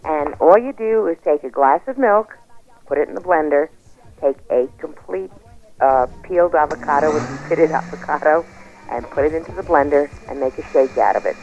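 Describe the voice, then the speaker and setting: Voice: mid-range voice, animated, moderate pace, a little mellow, soft background music. A caller chatting casually on a radio phone-in, heard through a dull, lo-fi line.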